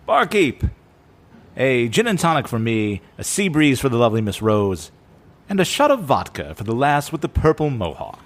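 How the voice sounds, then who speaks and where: Male professor-spymaster: formal, smooth, secretive, mid pitch with sweeping intonation, audio drama. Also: very animated, moderate pace. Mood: suave, genial. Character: suave, secretive criminology professor man who recruits spies.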